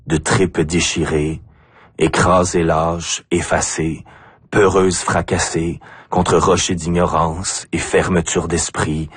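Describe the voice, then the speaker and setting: A man with an Acadian French accent reciting spoken-word poetry deliberately, in short phrases with pauses. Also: low-pitched, breathy edge, no room sound. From Acadia, New Brunswick, Canada. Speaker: poet.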